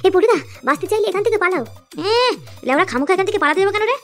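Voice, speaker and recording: A man's voice: very high voice, lively intonation, racing, fairly bright tone, soft background music. A man voicing a rowdy, cocky village youth in a comic cartoon, with crude, slangy banter. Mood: panicky, irritated.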